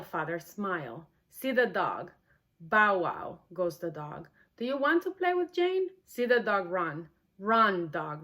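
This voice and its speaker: A woman's voice: on the low side, a bit bright. A woman whose first language is not English, speaking earnestly and with feeling as the host of a book read-along. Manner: earnest, heartfelt. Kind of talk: warm personal introduction, then reading a novel aloud.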